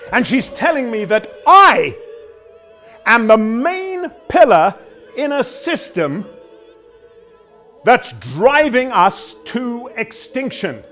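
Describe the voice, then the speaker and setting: High-pitched, intense, troubled prosecutor. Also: male voice, bright, forceful tone. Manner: intense, deliberate, with dramatic swells and drops. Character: troubled older male public prosecutor, dutiful, torn, defensive father. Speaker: actor in a dramatic monologue. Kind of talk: theatrical dramatic monologue.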